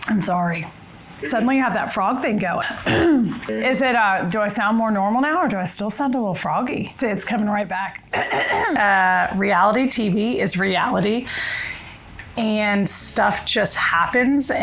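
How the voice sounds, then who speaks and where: A woman host, chatty and bubbly, her voice a little hoarse. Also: mid-range voice, measured pace, fairly bright tone, a little hiss or hum. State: slightly hoarse from losing her voice. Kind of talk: casual reality show chat and narration.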